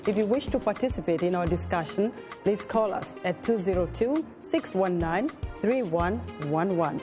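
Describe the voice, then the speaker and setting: A host of a call-in talk show, welcoming callers and moving the show along briskly. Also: mid-range voice, animated, music in the background.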